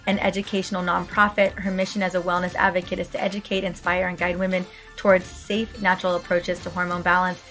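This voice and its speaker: A woman hosting a podcast interview, her voice raspy and hoarse from a book tour, talking in an effusive, gushing way. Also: lowish voice, little pitch movement, quick pace, few pauses, a bit bright, soft background music. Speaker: podcast host.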